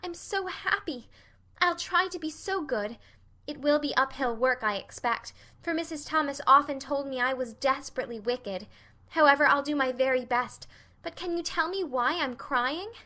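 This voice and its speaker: A woman's voice: high-pitched, normal speed, bright, forceful tone, pitched above the performer's own voice. A woman voicing an earnest, dreamy young orphan girl in an audiobook, effusive and imploring. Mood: tearful joy. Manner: effusive, wordy, dramatic. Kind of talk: audiobook character voice.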